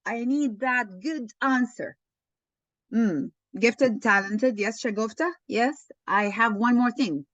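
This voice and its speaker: A woman leading an online teaching session, slow and encouraging, often thanking participants. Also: on the high side, a bit bright, dry recording. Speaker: webinar lecturer. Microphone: video call. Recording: dull online call audio.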